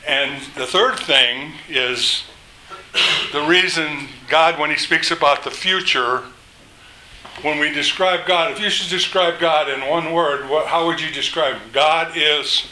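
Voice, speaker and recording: An older man preaching a sermon, reading scripture aloud with emphatic, drawn-out phrasing and a loud voice. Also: a little high, slight background noise, poor audio. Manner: slow, emphatic delivery, rises when quoting scripture.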